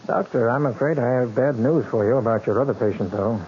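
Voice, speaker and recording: A man's voice: mid-range voice, fast talker, darkish tone, natural voice. A man acting a hospital doctor talking to a colleague in a 1940s radio drama. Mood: sober. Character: male hospital doctor, a colleague bearing bad news. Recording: muffled, noisy 1940s radio recording.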